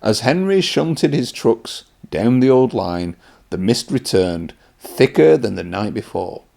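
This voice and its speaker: Man reading a children's storybook, lively pitch. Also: a little slow, gravelly. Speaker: children's read-aloud presenter and narrator.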